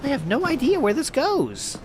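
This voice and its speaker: A man's voice: very high-pitched, huge pitch swings, moderate pace, a crowd in the background. A male host giving sarcastic, mocking commentary over old stream clips.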